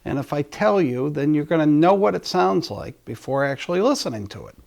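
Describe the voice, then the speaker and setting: A man speaks as host of an audio channel in an informal, conversational style. His voice sits a little high for a man and has a strong gravelly creak. He rambles, often breaking off and starting his sentences again. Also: lively intonation, brisk, darkish tone. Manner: rambling, often restarting sentences mid-thought. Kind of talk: casual talk to listeners.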